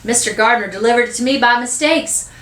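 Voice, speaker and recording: A woman's voice: a little high, brisk, fairly bright tone, reverberant, voice pushed up, close to an everyday voice. Woman voicing a drawling older man. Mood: wry, friendly. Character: wiry older man, teasing, drawling neighbor.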